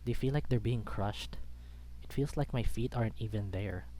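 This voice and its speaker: A man's voice: fairly low pitch, normal speed, mellow, slight background noise. A man reading a first-person story aloud as a dramatic narrator. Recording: background music under the voice. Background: music.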